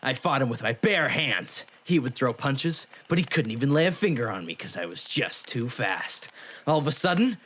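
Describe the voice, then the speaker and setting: Man voicing a hot-tempered half-demon, cocky, gruff, very animated pitch, anime audio drama, faint background noise. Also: moderate pace. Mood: boastful. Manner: cocky, defensive, gruff bragging.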